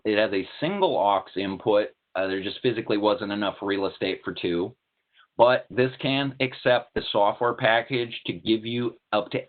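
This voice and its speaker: Low-voiced man on a tinny phone-like line. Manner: plain, explanatory, methodical. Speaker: product presenter in a webinar. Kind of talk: technical product presentation. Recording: tinny narrowband call-like audio.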